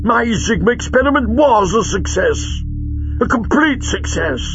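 Imperious high-pitched villain. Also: male voice, lively intonation, measured pace, vocal fry, muffled recording, music in the background, full character voice. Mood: gloating. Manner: emphatic, grandiose declarations. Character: imperious, impatient villain, gloating and obsessed. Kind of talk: dramatic audiobook character voice.

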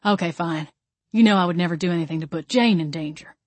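A woman's voice: mid-range voice, normal speed, no echo, natural voice. Roguish male vampire, acted by a woman, big-mouthed, casual, scheming, dry studio sound, audiobook character. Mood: contrite, coaxing.

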